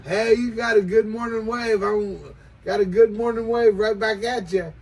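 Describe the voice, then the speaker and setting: An older American man calling out on CB radio in showy, slangy banter, repeating catchphrases, with a gravelly voice. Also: sits high, fairly even intonation, normal speed, very noisy. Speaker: CB radio operator. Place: small cluttered indoor radio room or shed. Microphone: desk CB microphone in hand, filmed from across room.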